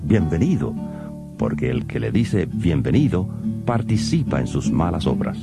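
A man giving a solemn, measured reading of scripture, his voice dropping to a hush at the ends of verses. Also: low-pitched. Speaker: Bible reader. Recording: guitar music under the voice. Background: plucked guitar music.

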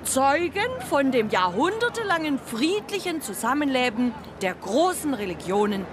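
Eine Schauspielerin spielt in einem Hörspiel eine redselige Frau, die gern belehrend erklärt. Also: female voice, high-pitched, big pitch swings, moderate pace. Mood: explaining. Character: talkative, instructive woman explaining the city. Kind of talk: radio play dialogue. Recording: noisy outdoor street ambience.

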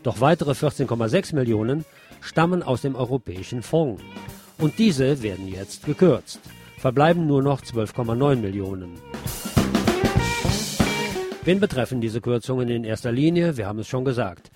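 A man presents scripted news on the radio in a deliberate, emphatic manner. His voice sits at a medium pitch, with wry commentary worked into the reading. Faint guitar music plays behind him. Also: lively intonation, dark tone.

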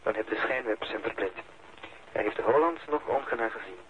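An actor playing a matter-of-fact police colleague, reporting over the telephone in a radio play. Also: male voice, fairly low pitch, brisk. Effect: telephone filter. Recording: tinny telephone line, noisy.

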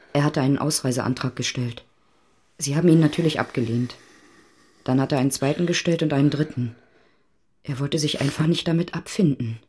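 An actress playing a subdued, bitter woman telling her story haltingly in a naturalistic radio play. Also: sits low, darkish tone, slight background noise, close to an everyday voice. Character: subdued, bitter woman recounting state persecution. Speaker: radio drama actor.

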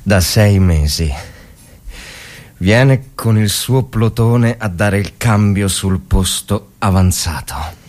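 An actor playing a worn-out, haunted army officer in a theatrical war monologue, halting, repetitive and brooding. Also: male voice, lowish voice, a little mellow. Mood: weary, dutiful. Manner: halting, repetitive, brooding, swinging between murmurs and outbursts. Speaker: actor reading a war story. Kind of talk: dramatic theatrical monologue from literary fiction.

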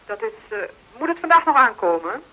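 An actress in a radio play as a businesslike telegraph operator heard through a telephone. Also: female voice, a little high, sing-song, fairly quick, bright, forceful tone, rough recording.

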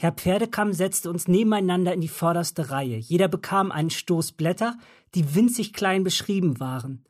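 An actor playing a grown narrator who recalls a village childhood in measured, reflective radio drama storytelling. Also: mid-range voice, darkish tone, natural voice.

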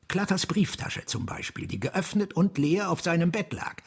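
Very raspy skeptical detective. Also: male voice, high-pitched, big pitch swings, moderate pace, full character voice. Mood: insistent, skeptical. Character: persistent, skeptical male police detective. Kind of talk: radio drama dialogue.

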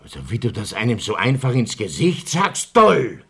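An actor playing a blustering, domineering middle-aged town councillor in a radio play, pompous and patronizing. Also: male voice, mid-range voice, huge pitch swings, normal speed, gravelly, pushed and bright, slightly rough, heavily acted. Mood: smug, indignant.

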